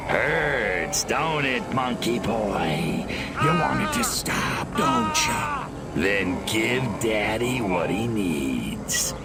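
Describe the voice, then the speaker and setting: A man voicing a small, sadistic, wisecracking alien invader in a video game, gruff and sarcastic. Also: lowish voice, big pitch swings, extremely slow, over loud music, full character voice. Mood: gloating. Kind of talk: video game character acting.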